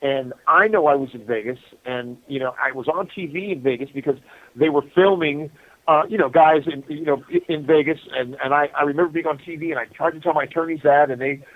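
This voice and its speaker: A middle-aged American man telling his story casually over a telephone, halting and conversational, often saying "you know.". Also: a little high. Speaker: prisoner interview guest calling from prison. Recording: muffled phone call from prison.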